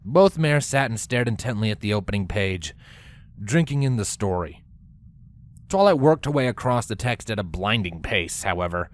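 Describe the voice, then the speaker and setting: Man narrating a story, lively intonation. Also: lowish voice, moderate pace, no room sound.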